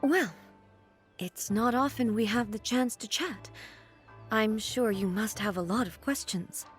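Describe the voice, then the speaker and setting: A woman voicing a composed, formal female ruler in a game, speaking calmly and with measure. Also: a little high, a little slow, soft background music, natural voice. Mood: solemn. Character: regal, composed female ruler, calm and solemn.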